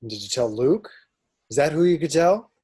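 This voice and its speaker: A brash, impatient smuggler, played by a man, gruff but caring. The voice is mellow and husky with lively swings of pitch, in a table read over a video call. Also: moderate pace. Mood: concerned, exasperated.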